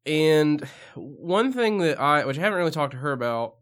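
Hoarse guy on a movie podcast, kinda high voice, rambly and unsure, laughs a lot. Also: animated, moderate pace, a bit bright. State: hoarse, losing his voice. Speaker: podcast co-host. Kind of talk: casual movie review chat.

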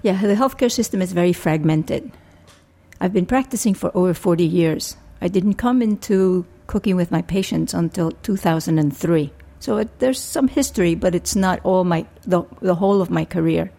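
An older woman from Rico, a family physician answering interview questions in a measured, thoughtful way. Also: fairly low pitch, creaky, warm tone. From Puerto Rico. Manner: measured, thoughtful, with frequent restarts.

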